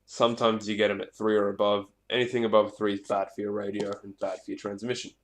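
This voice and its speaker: A man's voice: lowish voice, little pitch movement, fast talker. A man giving a casual hands-on gear demonstration in a rambling, off-the-cuff way, with some swearing. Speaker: hobbyist presenter. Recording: echoey room, clear background noise, clicks.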